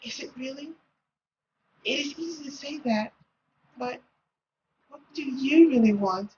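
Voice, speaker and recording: Man doing a soft, high-pitched timid young woman. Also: dull audio, noisy background. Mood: doubtful. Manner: soft, halting, pleading. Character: timid, hesitant, gentle young woman, devoted daughter.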